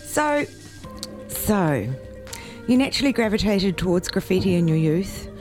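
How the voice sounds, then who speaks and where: A woman's voice: medium pitch, expressive, unhurried, a little mellow, faint music behind. A woman hosting a casual interview, chatty and bubbly, reading intros with small stumbles. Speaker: radio show host.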